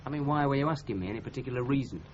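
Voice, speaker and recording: A man's voice: medium pitch, racing, natural voice. Actor as a touchy, hesitant young Northern English man. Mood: distracted, touchy. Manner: mumbling, hesitant, defensive. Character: touchy, preoccupied young newlywed projectionist. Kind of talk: 1970s radio drama acting. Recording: dull, noisy 1970s radio broadcast.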